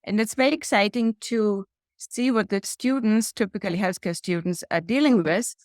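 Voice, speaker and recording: A middle-aged woman with a German accent speaks in a measured, earnest, explanatory way. Her voice sits in a middle pitch. The sound is dry and close. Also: a little mellow, dry recording. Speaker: guest, healthcare executive and lecturer. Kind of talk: interview answers.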